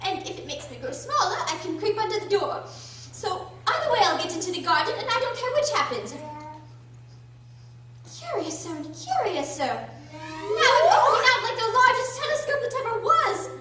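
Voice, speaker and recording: A woman's voice: extremely high pitch, sing-song, moderate pace, pushed and bright, full character voice. Radio drama role: curious, fretful little girl, played by a woman, theatrical and exclamatory, heard very distant in a heavily echoing room. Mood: eager, excited. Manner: exclamatory, theatrical, thinking aloud. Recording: roomy, distant, faint noise and hum.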